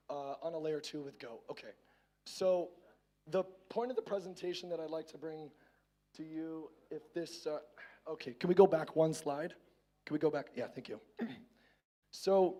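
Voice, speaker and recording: A hoarse man giving a casual tech conference talk on a main stage, often stumbling and repeating words as he starts a phrase. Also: on the high side, darkish tone. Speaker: conference speaker.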